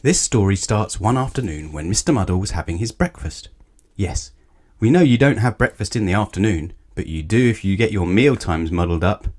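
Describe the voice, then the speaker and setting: Male storyteller for kids' picture books: lowish voice, very lively intonation, talks straight to the child. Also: moderate pace. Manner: expressive, addresses young listeners directly. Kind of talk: children's picture book read-aloud.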